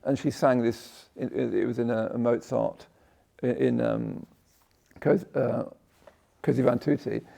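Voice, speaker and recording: A man with a croaky voice giving a conversational Buddhist talk, often checking in with "yeah?" and breaking off his sentences. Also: medium pitch, unhurried, mellow. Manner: conversational, tag questions, self-interrupting. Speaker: Buddhist teacher. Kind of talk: dharma talk. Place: meditation hall.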